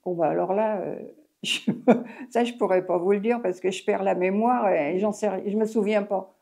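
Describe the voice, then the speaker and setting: A woman's voice: medium pitch, fairly even intonation, normal speed, vocal fry, dark tone. A French woman in her eighties, a retired taxi driver, telling her story in a rambling, chatty interview with many restarts. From France. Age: 80s. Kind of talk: oral history interview.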